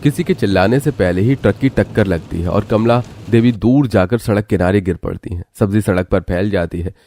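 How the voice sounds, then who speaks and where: A man with a very low voice serves as narrator. He delivers scripted story narration. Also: a little fast, dark tone, slight background noise.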